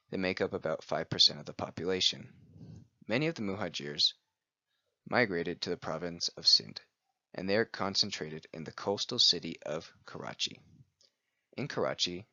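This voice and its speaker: A man with a very deep voice and a sharp, forceful edge reads a prepared presentation in an even, steady way. The recording is close and dry, with a muffled, lo-fi quality. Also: no echo. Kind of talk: scripted read-aloud presentation.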